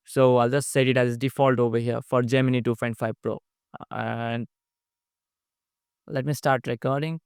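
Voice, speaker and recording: A young man explains a software update in a plain, matter-of-fact way. His voice is smooth and clean, with gravelly fry at the ends of phrases. The sound is close and dry. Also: mid-range voice, little pitch movement, moderate pace, pauses often, no echo. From Nepal. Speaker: app developer. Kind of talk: casual screen-recorded product update. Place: indoors, small room.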